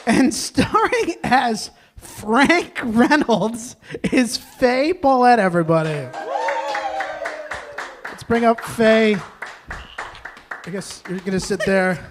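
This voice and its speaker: A man hosting a live stage event, announcing informally with halting, self-interrupting delivery. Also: very high voice, slight background noise. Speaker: host of a live table read.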